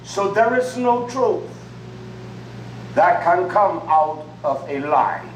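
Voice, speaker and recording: Slow, emphatic high-voiced male preacher. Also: animated. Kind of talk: sermon. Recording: echoey room from far off with faint noise.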